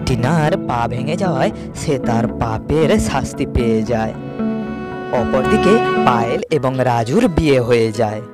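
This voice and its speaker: A man's voice: medium pitch, normal speed, over loud music. A man narrating a scripted moral story for a cartoon.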